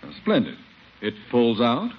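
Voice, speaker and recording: An actor playing a pompous, florid and overly courteous gentleman houseguest in a 1950s radio comedy. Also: medium pitch, big pitch swings, unhurried. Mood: politely dismayed. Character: grandly courteous, self-pitying gentleman neighbor and houseguest. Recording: muffled 1950s radio recording, faint noise.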